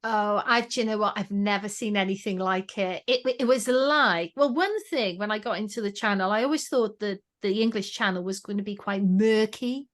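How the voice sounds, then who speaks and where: Welsh woman, podcast guest, lively storyteller who acts out her own thoughts, mid-pitched voice. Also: moderate pace, few pauses. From Wales. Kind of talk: casual conversation.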